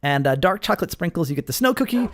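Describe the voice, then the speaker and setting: Man, slightly high voice, highly varied pitch, casual gameplay commentary, explains to viewers. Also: big pitch swings, fast talker, slight background noise. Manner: explanatory, addressing viewers as guys. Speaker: host of a Minecraft mod showcase.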